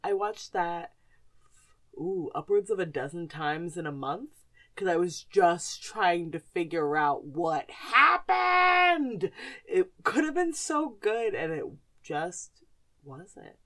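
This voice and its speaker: A woman's voice: fairly low pitch, big pitch swings, takes it slowly, fairly bright tone. A woman co-hosting a podcast, chatting casually and asking questions in a blunt, emphatic way. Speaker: podcast co-host. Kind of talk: casual, candid chat and interviewing.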